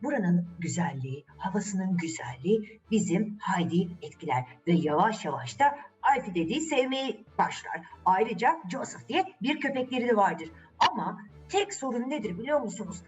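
A woman's voice: medium pitch, very animated, normal speed, hardly any pauses, far from the mic, faint music behind. A woman storyteller and host telling a children's fairy tale in a chatty way, putting questions to her young listeners.

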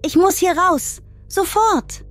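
A woman's voice: extremely high pitch, slow. A woman playing a curious, uneasy young woman exploring a haunted house in a horror audio drama. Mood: frightened. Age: young adult.

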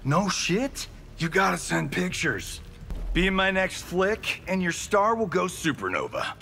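A cocky, flirtatious movie star in a fighting game. His high voice drawls playfully and swoops wildly over faint music. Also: sing-song, a little slow, vocal fry, pushed and bright, heavily acted. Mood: cocky, flirty. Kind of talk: fighting game character dialogue.

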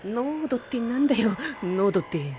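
An actress playing a blunt, sarcastic, easily rattled schoolboy in an anime audio drama, with casual boyish speech. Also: female voice, on the high side, very animated, normal speed, warm tone. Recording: music behind the voice.

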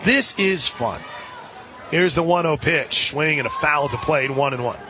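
High-pitched man calling softball on radio. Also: lively intonation, normal speed. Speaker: radio play-by-play announcer. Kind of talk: live softball radio commentary. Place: outdoor softball stadium broadcast. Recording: crowd and music behind. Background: stadium crowd, music.

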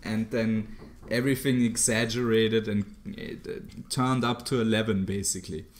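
A man's voice: on the low side, fairly even intonation, normal speed, a little hiss or hum. Guy hosting a podcast, jokey and talkative, laughs a lot. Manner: joking, talkative, laughs often. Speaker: podcast host. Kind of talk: casual chat.

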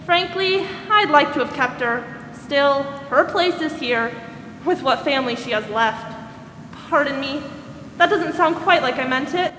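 A woman's voice: high voice, animated, unhurried, fairly bright tone. A woman acting a polite, concerned social worker in a 1940s-style radio drama. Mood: hesitant. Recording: roomy stage hall, faint noise.

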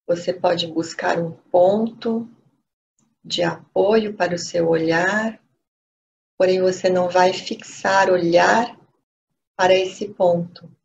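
Slow Brazilian woman guiding meditation, lo-fi. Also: lowish voice. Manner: gentle, unhurried, pausing between short phrases. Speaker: meditation teacher. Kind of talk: guided meditation instruction. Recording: dull lo-fi audio with steady noise.

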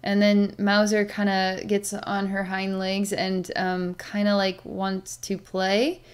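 Halting, meditative middle-aged woman. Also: mid-range voice, completely flat delivery, clear, resonant tone. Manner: halting, meditative, with long pauses and sighs. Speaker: animal communicator, psychic. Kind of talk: slow narration of a psychic session with pets, thinking aloud. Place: small plain room indoors.

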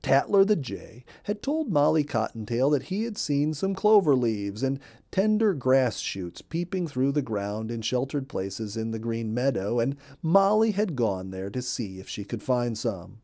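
A middle-aged man narrating a children's story in an expressive storybook manner. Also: mid-range voice, unhurried, few pauses. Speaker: storyteller, audiobook reader. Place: indoor room.

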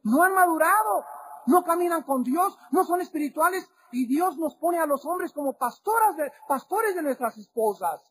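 A man preaching an impassioned sermon that rises to shouts. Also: very high voice, expressive, moderate pace, slight background noise.

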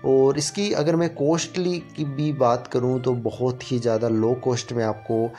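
A man's voice: mid-range voice, fairly even intonation, moderate pace, mellow, faint music behind. A man giving a rambling voice-over review of woodworking tools, repeating himself often. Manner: rambling, repetitive filler-heavy explanations. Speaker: product reviewer.

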